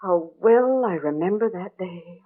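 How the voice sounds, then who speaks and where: Gossipy older neighbor, muffled old radio. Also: female voice, on the low side, expressive, unhurried, slightly rough. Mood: tragic, dramatic. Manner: chatty, exclamatory, ominous storytelling. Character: gossipy, superstitious older female neighbor with rheumatism, dramatic and morbid. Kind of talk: old-time radio drama acting. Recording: muffled, narrow-band vintage radio recording.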